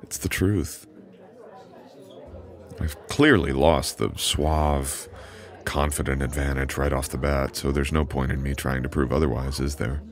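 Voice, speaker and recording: Very deep, gravelly immortal boyfriend acted by a man. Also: fairly even intonation. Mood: flustered, charming.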